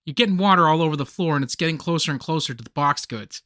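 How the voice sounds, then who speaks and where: A male actor playing an excitable, nostalgic, nerdy man in a radio comedy play, with theatrical, geeky banter. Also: a little high, fast, no echo, clean studio sound. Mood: playful banter.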